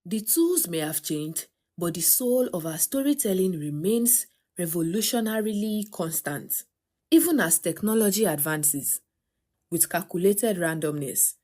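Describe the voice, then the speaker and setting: A woman narrating a scripted documentary in a measured, articulate way. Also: on the low side, warm tone.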